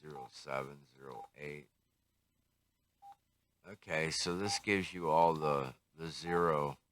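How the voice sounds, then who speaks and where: Older guy, real deep voice, slow talker, kinda muttering thru a gear review to himself. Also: fairly bright tone, a little hiss or hum. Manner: unhurried, musing, talks to himself. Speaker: radio hobbyist reviewer. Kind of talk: casual hands-on product review, thinking aloud.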